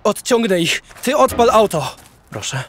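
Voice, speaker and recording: A woman's voice: mid-range voice, big pitch swings, unhurried. A woman voicing a worried but resolute young cartoon cat, earnest and urgent. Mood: urgent resolve. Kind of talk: animated film dub. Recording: very loud gunfire and vehicle noise.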